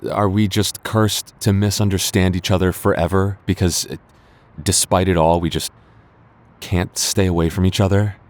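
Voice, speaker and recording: Casting: male actor for a low-pitched young childhood friend in a romance audio roleplay, defensive yet smitten, hesitant and stumbling over words. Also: level tone, darkish tone, natural voice. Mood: conceding, weary. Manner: halting, stammering, hesitant. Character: young man, flustered, defensive childhood friend secretly in love.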